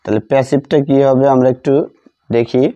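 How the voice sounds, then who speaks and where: A man with a Bengali accent teaching grammar, dictating deliberately word by word and repeating phrases. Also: medium pitch, steady tone, mellow, no echo. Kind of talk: instructional lesson, bilingual grammar explanation. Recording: dull lo-fi sound.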